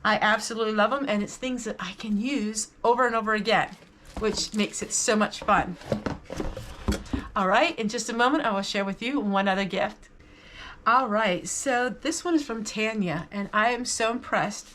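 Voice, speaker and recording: A woman's voice: mid-range voice, moderate pace, a bit bright, a little hiss or hum. A woman hosting a vlog, chatting casually to viewers about items she shows, in an enthusiastic and appreciative manner. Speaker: vlog host. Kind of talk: casual haul chat to viewers.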